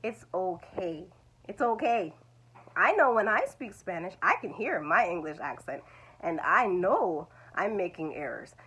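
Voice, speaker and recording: A woman's voice: fairly low pitch, expressive, unhurried, bright, forceful tone, breathy edge, lo-fi sound. A woman, teaching language learners in a reassuring, repetitive way. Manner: reassuring, repeats "it's okay". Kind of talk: talking-head video lesson.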